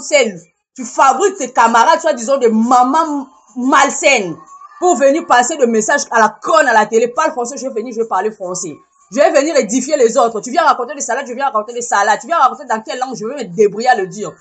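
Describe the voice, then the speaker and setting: A woman's voice: fairly high pitch, expressive, quick pace, breathy edge. A woman, delivering a heated, scolding social media rant and repeating herself to drive points home. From Congo.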